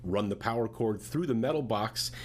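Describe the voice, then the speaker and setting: A man's voice: lowish voice, normal speed, slight background noise. A man reading scripted step-by-step instructional narration in a methodical, directive way.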